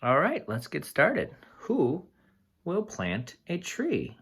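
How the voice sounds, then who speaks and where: A teacher reads a picture book aloud to young children in a husky voice. The delivery is slow and deliberate, with lively swings in pitch and simple explanatory asides along the way.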